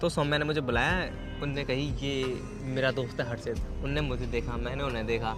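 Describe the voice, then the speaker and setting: A man's voice: mid-range voice, fairly even intonation, a little fast, loud music behind the voice. A man of twenty chatting in a casual, teasing way, repeating himself as he explains. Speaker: prank video host. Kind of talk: casual chat, teasing banter.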